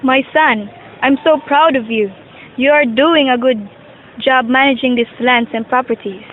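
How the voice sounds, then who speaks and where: A woman's voice: high voice, unhurried, slight background noise. A young woman acting a stern, ailing old rich father who lectures his sons in an amateur student audio drama. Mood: proud, inquiring. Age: young adult. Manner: lecturing, admonishing. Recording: muffled amateur recording with music.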